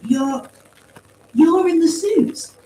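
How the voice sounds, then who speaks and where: An earnest woman soldier as a female narrator acts her argues with high, swooping emphasis. She is insistent and indignant, a story heroine heard in an echoey room. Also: expressive, measured pace, dark tone. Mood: shocked, demanding. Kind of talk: acted audiobook dialogue. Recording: roomy, distant pickup with faint noise.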